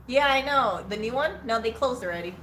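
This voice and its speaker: A woman's voice: on the high side, expressive, fairly quick, a bit bright, roomy sound, slight background noise. A woman co-hosting a live stream, chatting casually and teasing her co-host, laughing often.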